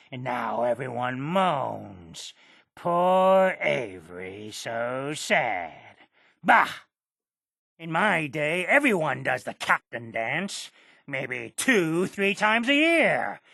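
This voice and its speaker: Creaky, cranky, boastful old islander. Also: medium pitch, very animated, fairly bright tone, no room sound, clean studio sound, exaggerated voice. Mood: scornful, mocking. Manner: scoffing, grumbling, slow and dramatic. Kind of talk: video game character acting.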